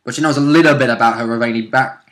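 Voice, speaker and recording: A man's voice: medium pitch, racing, fairly bright tone. A man who plays tabletop roleplay, chatting casually about the game.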